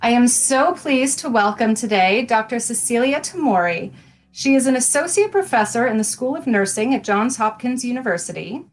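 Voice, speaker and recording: A woman's voice: fairly high pitch, lively intonation, moderate pace, soft background music. A woman hosting an interview podcast, introducing her guest formally and then chatting with her in a friendly way. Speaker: podcast host.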